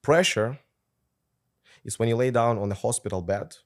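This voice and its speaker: A man's voice: medium pitch, moderate pace. A man in his twenties with a Ukrainian accent, a podcast guest telling his story in an earnest, intense way. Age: 20s. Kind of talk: casual interview conversation.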